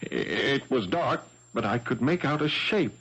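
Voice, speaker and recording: An actor playing an ardent young policeman in a 1970s radio drama, halting, emotional and theatrical. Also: mid-range voice, very animated, a bit bright, husky, exaggerated voice. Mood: shaken, distraught. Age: young adult. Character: ardent young French policeman, frantic for his fiancée. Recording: dull lo-fi 1970s radio broadcast.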